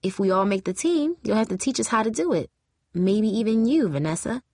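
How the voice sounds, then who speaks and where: A woman's voice: mid-range voice, very animated, brisk, darkish tone, no room sound, clean studio sound, pitched above the performer's own voice. A woman voicing a bold, defiant teenage cheerleader in a story, outspoken and challenging. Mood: bold, friendly.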